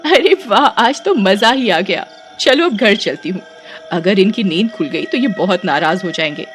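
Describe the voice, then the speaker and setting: Woman as young wife, high voice, playful, pleading, exclamatory, animated story, music underneath. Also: normal speed, close to an everyday voice. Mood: delighted. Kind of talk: animated Hindi storybook acting. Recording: music behind the voice.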